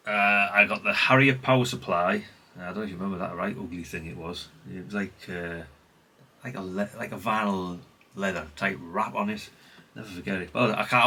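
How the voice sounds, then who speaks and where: Low-pitched, bright-voiced man rambling casually. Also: breathy edge. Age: middle-aged. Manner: rambling, conversational, repeats catchphrases. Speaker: hobbyist vlogger. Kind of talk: unscripted casual chat, showing an item.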